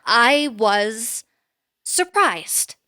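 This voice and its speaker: A woman's voice: fairly high pitch, very animated, drawn-out words, pushed and bright, full character voice. A woman playing a composed, businesslike boss in an audio drama, with formal, dry phrasing. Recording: faint music behind.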